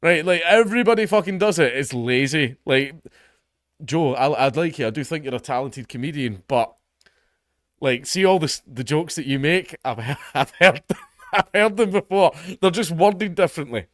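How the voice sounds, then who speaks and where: A man's voice: animated, normal speed, no room sound, studio quality. A Scottish man commenting on an article in a casual, sarcastic, sweary way, mocking the people he quotes. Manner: reads article passages in a lower, quieter voice. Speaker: online commentator.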